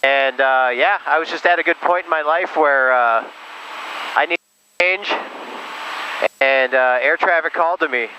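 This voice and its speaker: A man's voice: a little high, measured pace, long pauses. A man chatting in an easygoing, folksy way as an interview guest over an aviation headset in a small plane. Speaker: air traffic controller guest flying the plane. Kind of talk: casual interview chat. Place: small airplane cockpit in flight. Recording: muffled headset audio in loud engine noise.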